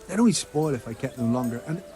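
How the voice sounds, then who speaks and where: A man's voice: medium pitch, animated, fast, mellow, soft background music, close to an everyday voice. A man acting a sly, miserly medieval innkeeper in a video game, smug and wheedling. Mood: grudging.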